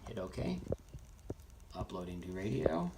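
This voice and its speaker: A man's voice: sits low, big pitch swings, a little slow, vocal fry. A man presenting a casual, hands-on radio tutorial, explaining things in an informal, rambling way. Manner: informal, rambling explanations with frequent "you know". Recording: noisy, with keyboard and clicking sounds.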